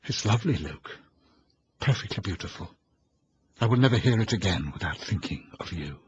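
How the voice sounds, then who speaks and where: A man's voice: lowish voice, lively intonation, moderate pace, slightly rough, lo-fi sound. A man voicing a courteous young woman with a lightly ironic way of talking. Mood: wry. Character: polite, teasing young woman.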